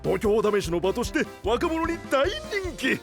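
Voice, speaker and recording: A woman's voice: fairly high pitch, big pitch swings, measured pace, soft background music. A woman voicing an eager, polite, unbothered park builder in an anime-style voice drama. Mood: proud, eager.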